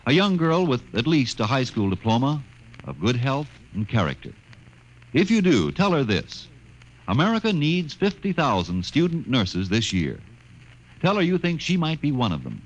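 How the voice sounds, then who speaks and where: A man's voice: mid-range voice, huge pitch swings, moderate pace, poor audio. Earnest vintage radio appeal, man. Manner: earnest, persuasive. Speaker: public service announcer.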